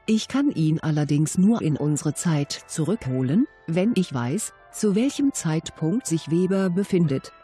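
A composed commander who speaks in measured, announcing tones. Her voice is mellow and warm, with faint music underneath. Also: deep voice, breathy edge, full character voice. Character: calm female commander of an agency.

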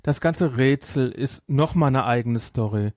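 A man's voice: medium pitch, unhurried, darkish tone, dry recording, studio quality. A man hosting a podcast, discussing a story casually and emphatically, often repeating and rephrasing his points. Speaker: podcast host. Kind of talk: casual discussion, reviewing an audio drama.

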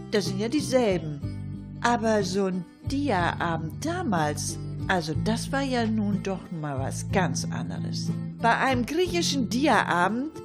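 A woman acts out an older lady with a raised, fairly high voice. She rambles colloquially through a comic radio monologue, easily indignant and forever breaking off to address her listeners. There is clear music in the recording. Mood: nostalgic, grumbling.

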